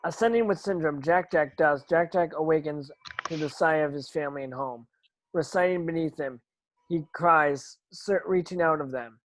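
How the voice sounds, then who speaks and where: Higher-voiced man, halting script narrator. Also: rough recording. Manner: halting, stumbling over words. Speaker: stage directions reader. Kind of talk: scripted narration at amateur table read.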